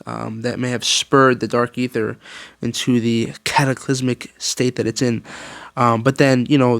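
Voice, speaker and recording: Male gaming YouTuber, ordinary mid pitch, slangy off-the-cuff rant, rambling and exasperated, close dry recording. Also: no room sound. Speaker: video game YouTuber. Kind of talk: casual opinionated rant to viewers.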